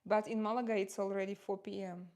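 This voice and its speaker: A forty-something woman speaks English with a noticeable Russian accent, chatting at an easy, unscripted pace. She often pauses on fillers and restarts her sentences as she talks to her stream audience. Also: medium pitch, level tone, a little mellow. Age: 40s. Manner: chatty, halting, many fillers, reads out viewer comments. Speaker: live streamer. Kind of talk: casual livestream chat while doing makeup.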